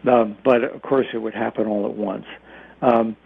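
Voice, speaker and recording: Gravelly American man on a phone line. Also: lowish voice, steady tone, moderate pace. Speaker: expert radio guest. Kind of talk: conversational interview answers. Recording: narrow, tinny phone line.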